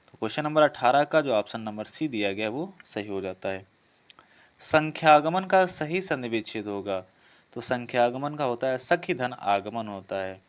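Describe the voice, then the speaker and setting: A man speaks as a teacher taking students through practice questions. His voice is clear and smooth, at a middle pitch. He restates each question, then gives the answer in an instructional way. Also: expressive, moderate pace. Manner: repeats each question before giving the answer. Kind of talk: instructional lesson, working through quiz questions.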